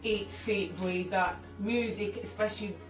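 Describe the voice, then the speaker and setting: A woman, a singer and actress, talking candidly as an interview guest, lively and jokey with many restarts. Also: mid-range voice, measured pace. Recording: echoey room, far from mic, music behind.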